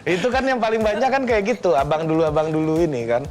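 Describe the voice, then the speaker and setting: A man's voice: a little high, big pitch swings, fast talker. A man speaking as a guest on a studio panel in a casual, chatty way. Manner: casual, chatty, laughs between points. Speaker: talk show guest.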